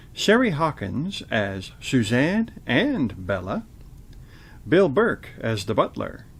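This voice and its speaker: Guy announcing for a radio theater show, gravelly and raspy, pitch moves around a lot. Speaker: announcer and host.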